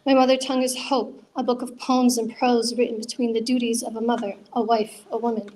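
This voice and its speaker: A young woman reads her own poetry aloud at an open mic night. Her voice sits a little high, and her delivery is earnest and measured. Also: level tone, a little mellow, slight background noise, rough recording. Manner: earnest, measured reading with chatty asides. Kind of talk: spoken word poetry reading.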